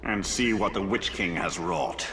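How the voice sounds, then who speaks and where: A stern spirit lord from a fantasy game. The voice is low and forceful, grave and formal, and rings in a long reverb as it gives commands. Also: male voice, a little slow. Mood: stern, commanding. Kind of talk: dramatic video game dialogue. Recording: long reverb, faint noise.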